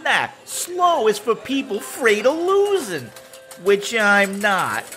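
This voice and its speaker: A man's voice: high-pitched, wildly swooping pitch, slow pace, bright, forceful tone, faint music behind, exaggerated voice. An actor playing a cocky male mob gambler from Chicago who talks in tough-guy slang. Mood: defensive. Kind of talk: comedy audio drama.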